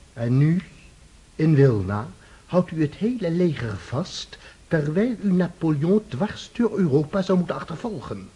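An actor playing a stern, reproachful tsar who talks imperiously and coldly in a radio play. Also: male voice, on the high side, dark tone, breathy edge, exaggerated voice.

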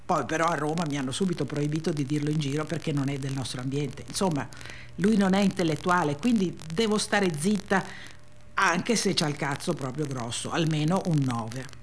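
A woman's voice: low-pitched, normal speed, a little hiss or hum. A woman giving a dramatic first-person reading of a memoir for radio. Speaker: reader.

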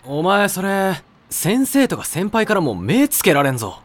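Lively, wry high school boy. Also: unhurried, full character voice. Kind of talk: Japanese anime audio drama acting.

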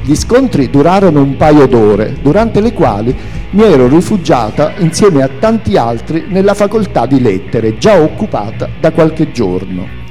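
A man giving an expressive, theatrical reading of a literary memoir. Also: on the high side, normal speed, soft background music, rough recording. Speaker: reader of a memoir. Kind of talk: dramatic literary reading aloud with music.

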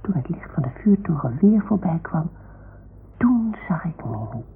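Klein bang speelgoedhondje, krakerige stem. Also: female voice, lowish voice, very animated, moderate pace. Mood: frightened wonder. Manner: childlike, breathy hushed storytelling. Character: small timid toy dog telling its night adventure. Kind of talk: 1960s-70s radio play acting. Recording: muffled, hissy old radio recording.

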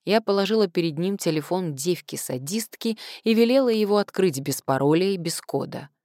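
Low-voiced woman narrating an audiobook. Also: animated, brisk, warm tone, dry recording, clean studio sound. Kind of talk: expressive first-person fiction narration.

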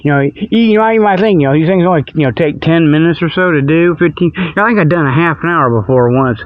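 Male radio host, higher-than-average voice, folksy meandering solo talk, muffled low-grade tablet audio. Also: quick pace, no echo. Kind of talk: rambling comic solo monologue. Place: outdoors on a walk before dawn. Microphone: budget tablet held in hand. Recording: dull lo-fi tablet recording.

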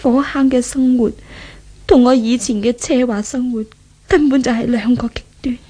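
Casting: actress as tormented, once-pampered young woman, Hong Kong Cantonese radio drama, high and mellow, breathy, remorseful. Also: steady tone, normal speed, close to an everyday voice. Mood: sorrowful reflection. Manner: dramatic, breathy monologue with sighs. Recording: 1970s radio drama recording.

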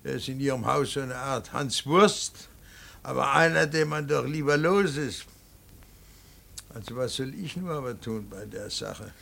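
An actor playing a stern, anguished middle-aged father in a radio drama, speaking in a deliberate, halting and emphatic way. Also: medium pitch, gravelly, fairly bright tone. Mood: measured concern. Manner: deliberate, halting, emphatic, swinging from muttered to pleading. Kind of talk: German radio drama acting.

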